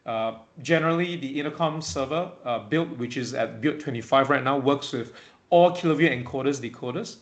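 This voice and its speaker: A man presenting a product webinar in an informal, halting way, often checking in with small tag words. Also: mid-range voice. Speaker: webinar presenter for a tech company.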